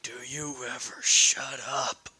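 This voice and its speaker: A man's voice: a little high, slow, pushed and bright, husky, exaggerated voice. A man voicing a brash, cursing young fighter. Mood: defiant. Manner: blunt, cursing, strained.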